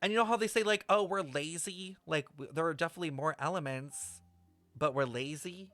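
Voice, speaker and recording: A brash, sweary livestreamer chatting casually and rambling through an explanation, playfully scolding viewers with theatrical emphasis. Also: mid-range voice. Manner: animated, theatrical emphasis with drawn-out words. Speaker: streamer reacting to a video game story. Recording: roomy echo, faint music behind.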